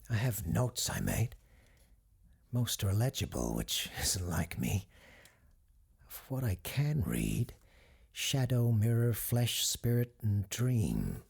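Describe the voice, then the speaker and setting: Husky, earnest paranormal radio host. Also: male voice, on the low side, a little mellow, exaggerated voice. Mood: puzzled, composed. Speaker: radio call-in show host. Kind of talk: audio drama acting.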